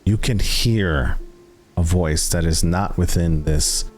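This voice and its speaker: Very deep, slow-paced male game master. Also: a little mellow. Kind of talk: narrating a tabletop roleplaying game. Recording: background music under the voice.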